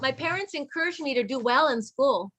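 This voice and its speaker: A woman's voice: high voice, lively intonation, fairly quick, fairly bright tone. A woman reading a children's book aloud to pupils as facilitator, encouraging them and asking them to repeat. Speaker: read-along facilitator.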